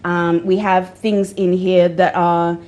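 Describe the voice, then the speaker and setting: Brief: low-voiced woman from Nigeria, articulate author interview guest, easy laugh. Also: flat delivery, slow pace, a little mellow.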